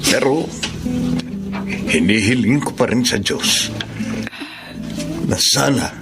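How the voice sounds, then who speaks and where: An actor playing a caring, troubled husband and father in a radio drama, gentle and earnest. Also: medium pitch, takes it slowly, gravelly, music in the background. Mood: pained.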